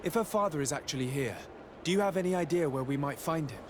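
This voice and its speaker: Man acting a formal, higher-voiced young diplomat in noise. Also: animated, fast, close to an everyday voice. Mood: businesslike. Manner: formal, courteous questioning. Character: polite, composed young male diplomat and legate. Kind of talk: video game cutscene dialogue. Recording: clear noise, outdoor ambience behind.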